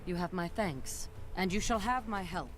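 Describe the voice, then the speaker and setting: A woman's voice: fairly low pitch, moderate pace, crowd noise, lightly acted. A woman playing a resolute mercenary leader in a fantasy video game, with dramatic delivery.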